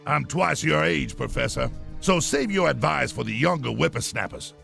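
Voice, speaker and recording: A gravelly old army colonel. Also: male voice, on the high side, lively intonation, moderate pace, a bit bright, soft background music, full character voice. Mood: gruff. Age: older. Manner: gruff, boastful and commanding. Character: blustering old army colonel, cigar-loving and bossy.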